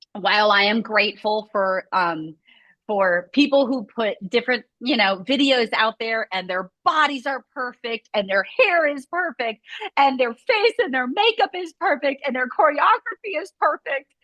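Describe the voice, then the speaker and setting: Woman studio owner, casual rambling chat, a little high-pitched, dry close sound. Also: animated, unhurried, fairly bright tone, dry recording. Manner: chatty, rambling, lots of "like" fillers. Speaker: scoliosis movement studio owner. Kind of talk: casual video chat conversation.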